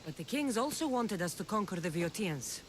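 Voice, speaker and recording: A woman's voice: medium pitch, very animated, fairly quick, a little hiss or hum, close to an everyday voice. An actress playing a defiant, self-assured female mercenary in a video game cutscene. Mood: determined.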